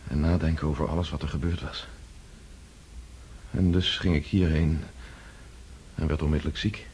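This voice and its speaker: A reflective nobleman in a radio play, speaking low and earnest. He weighs his thoughts carefully, turned inward after hard times, with faint hiss behind. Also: level tone, normal speed, darkish tone. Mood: weary. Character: reflective male nobleman recovering from war. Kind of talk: radio drama acting.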